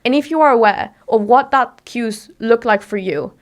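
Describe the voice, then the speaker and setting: A woman's voice: a little high, normal speed. A young woman from Mexico, whose first language is Spanish, speaking as a podcast guest in a direct, emphatic way and asking herself rhetorical questions. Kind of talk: casual interview talk.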